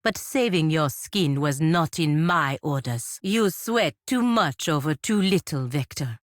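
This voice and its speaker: Dutiful, disapproving female knight-diplomat, fantasy RPG. Deep register, formal measured delivery, dry studio sound. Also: lively intonation, dry recording. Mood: scornful. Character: proud, stern, dutiful female paladin envoy of a merchant republic. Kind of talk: scripted fantasy video game dialogue.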